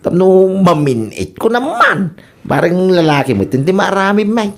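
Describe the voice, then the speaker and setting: A woman acting an excitable, teasing spouse eager for a son in a radio comedy drama. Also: lowish voice, moderate pace. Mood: boastful, eager.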